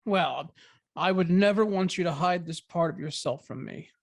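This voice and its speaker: A woman's voice: lowish voice, normal speed, gravelly, a little mellow, no echo. A woman playing a supportive, joking young boyfriend in a script table read, casual, hesitant and stammering. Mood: teasing.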